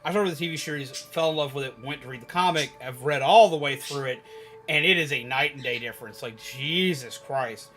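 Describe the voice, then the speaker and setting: A man livestreaming, chatting casually and rambling to viewers in an animated, emphatic, opinionated way. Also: mid-range voice, fairly quick, soft background music. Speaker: live streamer. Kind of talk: casual rambling chat with viewers while gaming.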